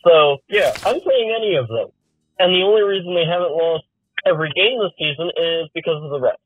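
Husky man on a tinny voicemail. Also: fairly high pitch, animated, a little fast. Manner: blunt, complaining. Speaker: voicemail caller. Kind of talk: phoned-in voicemail rant. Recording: tinny phone-line voicemail.

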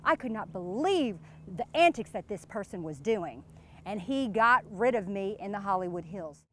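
A woman's voice: medium pitch, expressive, normal speed, slight background noise, lightly acted. An American woman, probably in her thirties, playing a flirty, giggly, boastful glamorous wife in an improvised, gushing mock interview. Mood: dramatic. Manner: coy, gushing, suggestive, giggly. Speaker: interviewee in character. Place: outdoors beside a lake.

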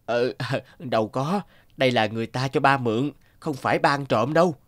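A man's voice: medium pitch, normal speed, a bit bright, no room sound, close to an everyday voice. Shifty but loving thief father, acted by a man. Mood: nervous, evasive. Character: shifty, guilty young father and petty thief, fond of son. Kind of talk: cartoon voice acting. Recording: music bed behind the voice.

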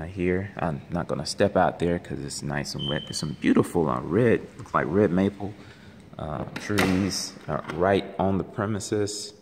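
A man gives a casual walking tour of an empty house as a realtor, talking haltingly with many ums and uhs, filmed on a handheld phone. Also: low voice, a little mellow, slight background noise. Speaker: realtor giving a home tour. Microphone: handheld phone camera. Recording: echoey empty rooms.

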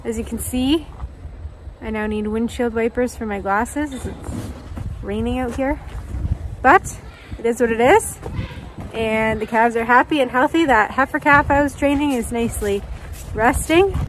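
A woman's voice: on the high side, a little slow, pauses often, rough recording. A woman in her thirties giving casual vlog narration outdoors on a farm, recorded on a phone held at arm's length. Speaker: dairy farm vlogger and mother. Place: outdoors on a snowy farmyard. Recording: clear outdoor wind and vehicle noise.

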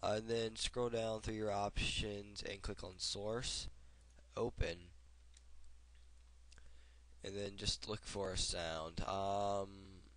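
A young man with a head cold, hosting a casual software tutorial and rambling with fillers as he clicks along at his desk. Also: on the low side, little pitch movement, slow pace, pauses often. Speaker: tutorial host. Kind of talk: casual screen-recorded tutorial.

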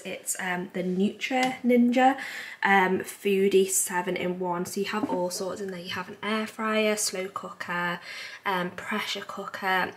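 A woman's voice: lowish voice, bright, forceful tone, a little hiss or hum. Chatty young woman vlogging at home. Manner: chatty, self-deprecating, frequent ums. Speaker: homemaking vlogger. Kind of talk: casual clean-with-me chat. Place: home kitchen and bathroom indoors.

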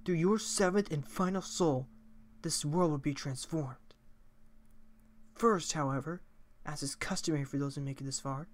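Man putting on a high-voiced fierce warrior woman. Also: moderate pace, close to an everyday voice. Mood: menacing, solemn. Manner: dramatic, declamatory, menacing. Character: fierce, proud female fish-warrior captain. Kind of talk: character voice acting of video game dialogue.

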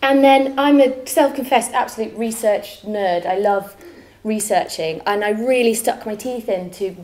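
A woman's voice: fairly high pitch, unhurried, a little mellow, reverberant. A young woman from Wales with a faint Welsh accent, giving a chatty, self-deprecating talk in a lecture theatre, full of fillers and restarts. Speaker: guest lecturer. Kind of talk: conference talk.

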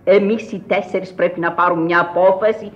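An actress playing a grand, eccentric countess in a radio play, commanding, earnest and theatrical. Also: female voice, lowish voice, fairly even intonation, lo-fi sound, close to an everyday voice. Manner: imperious, theatrical.

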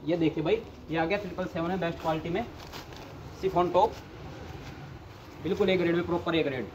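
A young man hosting a vlog, walking through a large warehouse and pitching clothing stock with eager salesman patter, filmed on a handheld phone. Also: fairly quick, noisy background. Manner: eager salesman patter, repeatedly urging viewers to look. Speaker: vlogger showing wholesale clothing stock. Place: large indoor warehouse full of clothing bales.

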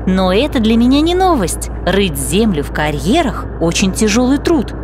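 A woman narrating a children's cartoon as host, explaining things in an animated storytelling way. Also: mid-range voice, normal speed, noisy background.

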